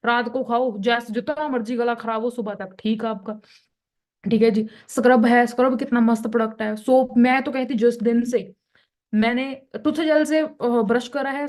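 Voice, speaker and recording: A woman's voice: a little high, darkish tone. Chatty young woman giving a sales pep talk. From Punjab, India. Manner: chatty and persuasive, mixing in English phrases. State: slightly sore throat. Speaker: team leader giving a training session.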